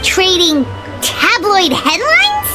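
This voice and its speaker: A tiny, excitable fairy sidekick in a video game. The voice is extremely high, squeaky and childlike, swinging up and down with dramatic exclamations. Delivery is drawn out and slow, over loud game music. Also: female voice, heavily acted. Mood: indignant. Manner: exclamatory, dramatic, refers to herself by name. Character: small excitable childlike female fairy companion. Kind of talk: English video game voice acting.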